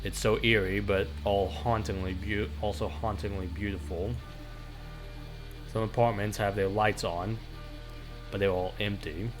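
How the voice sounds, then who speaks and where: A man's voice: on the low side, steady tone, measured pace. A man doing a casual let's play, reading game text aloud and dropping in off-the-cuff asides. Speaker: video game streamer. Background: music.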